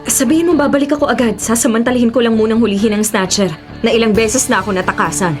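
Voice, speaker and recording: A woman's voice: fairly high pitch, fast talker, faint music behind, natural voice. A woman playing a determined, dutiful police officer in a radio drama, firm and resolute in her delivery.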